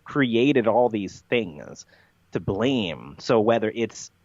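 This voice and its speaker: A man's voice: on the low side, very animated, takes it slowly, darkish tone, no room sound. Man explaining in dull, dry audio. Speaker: podcast guest. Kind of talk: conversational interview, expounding ideas.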